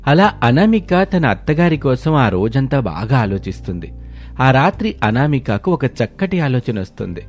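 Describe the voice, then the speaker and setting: A man's voice: medium pitch, darkish tone. A man narrating a scripted moral story.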